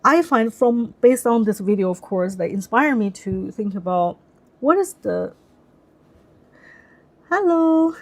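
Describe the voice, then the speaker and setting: A woman's voice: a little high, animated, slow, pauses often. A young woman whose first language is Chinese, hosting a casual live stream. She thinks aloud in English, often restarting sentences as she searches for words. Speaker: livestream host.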